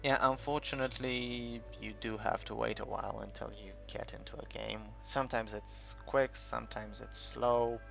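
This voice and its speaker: A man giving casual, unscripted game commentary in a halting, rambling way, as if thinking aloud while he explains things. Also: medium pitch, fairly even intonation, gravelly, a bit bright. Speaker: gaming video creator narrating a tutorial. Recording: dull lo-fi home recording, music behind.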